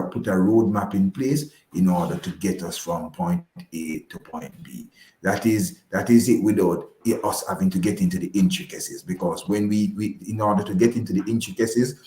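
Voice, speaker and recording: Male advisor guest, Jamaican accent, very low pitch, halting explanatory delivery with repeated phrases, noticeably reverberant room sound. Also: mellow. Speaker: financial advisor, interview guest. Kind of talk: explanatory interview answers. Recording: roomy, echoing voice pickup.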